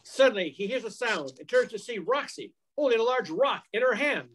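A man's voice: extremely high pitch, animated, a little fast, fairly bright tone, slight background noise. A man in his sixties reading scripted narration for an old-time radio crime drama, recorded at home on a video call. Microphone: computer mic on a video call.

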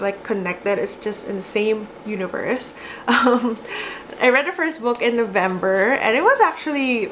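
A woman's voice: on the high side, fairly bright tone, a little hiss or hum, low-quality recording. A young woman from the Philippines with a Filipino accent, chatting casually to camera about books, giggling often. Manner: chatty and giggly, gestures a lot. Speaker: book vlogger. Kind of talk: casual to-camera book chat. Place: small cozy room indoors.

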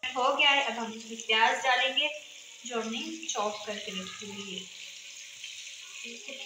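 A woman hosting a home cooking channel, calmly walking through each step of a recipe and often pausing to check in with viewers. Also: a little high. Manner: calm, instructive, often ends steps with a check-in. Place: home kitchen, indoors. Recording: echoey room with sizzling noise. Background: frying and sizzling from the pan.

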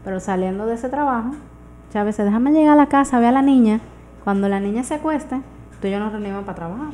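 A woman's voice: on the high side, fast, warm tone. A woman in her thirties with a Dominican accent, explaining things conversationally as a guest in a casual radio interview. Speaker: guest entrepreneur. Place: small radio studio, indoors. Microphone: studio microphone on arm. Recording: clear background noise.

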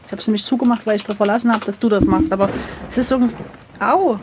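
A woman playing through an adventure gamebook, chatting casually and playfully with a comically dramatic, expressive manner. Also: medium pitch, fast talker, a little hiss or hum. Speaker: co-host, player of an adventure gamebook.

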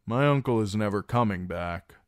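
A man's voice: fairly low pitch, normal speed, no room sound, clean studio sound, pitched above the performer's own voice, close to an everyday voice. A man voicing a broken, terrified young male captive who carries a message and pleads urgently with a hostile crowd. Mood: scornful.

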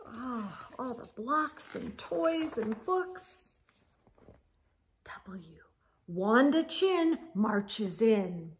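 A middle-aged woman reading a picture book aloud to children in an animated, sing-song storyteller manner. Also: a little high, extremely slow, a little hiss or hum. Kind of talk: expressive children's read-aloud. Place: indoor room lined with bookshelves.

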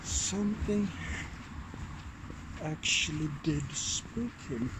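A man vlogging about paranormal events, talking in a hushed, hesitant way with many fillers and restarts. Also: on the high side, lively intonation, drawn-out words. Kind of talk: casual vlog talk. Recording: loud outdoor background noise.

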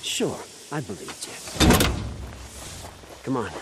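An edgy, defensive survivor from a video game. His mid-range voice rises and falls sharply as he argues, full of distrust. There is clear noise behind him. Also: mid-range voice, animated, takes it slowly. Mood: agitated. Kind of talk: video game cutscene acting.

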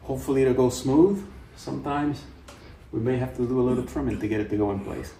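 A man's voice: medium pitch, quick pace. A man hosting a renovation channel, explaining the work step by step in a casual how-to way inside a gutted house. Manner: plain, steady explaining. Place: large gutted house under renovation, indoors. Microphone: camera mic from across the room. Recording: echoey room from far off, loud job-site noise.

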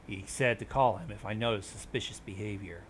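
A man's voice: on the low side, steady tone, fairly quick, a little hiss or hum. A man hosting a reaction show, reading on-screen text aloud and adding commentary.

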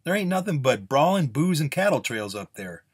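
A man voicing an older male gunslinger in a Western story, gruff, wry and haggling in folksy, drawling speech. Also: a little high, very animated, normal speed, voice pushed up. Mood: gruff, teasing.

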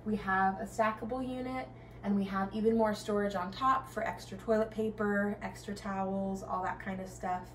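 A young woman gives a casual home tour, upbeat and chatty as she walks from room to room. Her voice sits at an ordinary middle pitch and carries a roomy echo, picked up from a few steps away. Faint room ambience hums underneath. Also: steady tone, normal speed, slight background noise. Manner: upbeat and chatty, walking while talking. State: pregnant. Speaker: stay-at-home mom giving a home tour. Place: small home with kitchen, nursery and bathroom. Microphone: camera a few steps away. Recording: roomy, slightly distant sound, faint ambience.

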